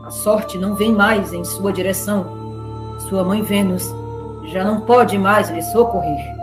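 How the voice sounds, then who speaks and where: A woman's voice: mid-range voice, fairly even intonation, unhurried. A woman with a Brazilian accent giving a scripted, dramatic narration of an epic. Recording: roomy echo, music underneath.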